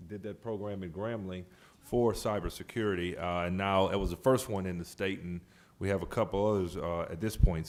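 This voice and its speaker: A man with a fairly low voice chairs a public board meeting. He moves through remarks and procedure in a halting manner, often restarting a phrase, keeping it official but informal.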